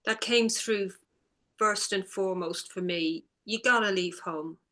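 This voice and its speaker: A woman's voice: medium pitch, measured pace, pushed and bright. A woman from Ireland, an author answering interview questions thoughtfully and with some hesitation. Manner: thoughtful, hesitant, with many ums. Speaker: author and guest.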